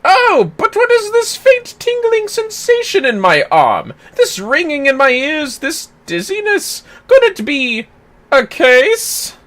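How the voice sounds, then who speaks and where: Shrill, swooning, vain game character. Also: male voice, huge pitch swings, fairly bright tone, exaggerated voice. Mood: melodramatic swooning. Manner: theatrical, gasping, drawn-out delivery. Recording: music behind.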